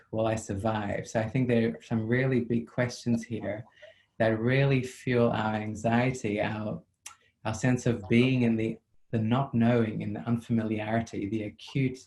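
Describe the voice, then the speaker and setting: South African male therapist, level low delivery, measured and reflective, video call audio. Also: darkish tone, rough recording. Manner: measured, reflective, with frequent ums. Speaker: psychotherapist. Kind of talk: thoughtful interview answers.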